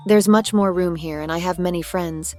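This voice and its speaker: Casting: woman playing veteran cargo plane lady in a cartoon, lowish voice, genteel and genial, faint music behind. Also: steady tone, normal speed, dark tone, close to an everyday voice. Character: genial fifty-one-year-old female military cargo plane, gently teasing. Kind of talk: scripted character dialogue.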